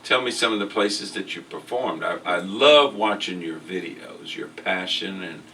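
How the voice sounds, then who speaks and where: A man hosting a casual interview, upbeat and enthusiastic, asking halting questions with restarts. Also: low voice, gravelly, bright, forceful tone. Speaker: interview and podcast host. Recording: distant mic with faint background noise.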